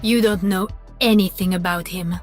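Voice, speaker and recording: A woman's voice: medium pitch, steady tone, normal speed, soft background music, lightly acted. An actress playing a cold, contemptuous female assassin in a video game, icy and menacing. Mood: icy, threatening.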